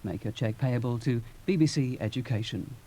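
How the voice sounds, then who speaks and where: A man reading a formal TV continuity announcement in a measured voice. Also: medium pitch, heavy background noise.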